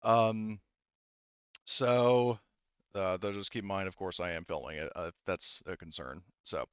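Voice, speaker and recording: A man's voice: low-pitched, a little slow, clean tone, no room sound. A man running a tabletop game as game master, narrating informally and hesitantly on a slightly dull home recording.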